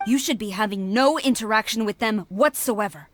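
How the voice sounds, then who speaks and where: A woman's voice: medium pitch, normal speed, fairly bright tone, slight background noise, natural voice. A woman playing a stern, dutiful, proud military commander in a video game, speaking formally and with authority. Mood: stern, angry.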